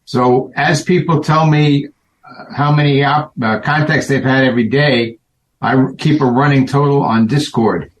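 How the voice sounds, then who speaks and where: A man's voice: medium pitch, steady tone, normal speed, a little hiss or hum. A man explaining in a matter-of-fact way as a guest on a talk show. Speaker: guest, event co-organizer. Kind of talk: casual chat. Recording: echoey room.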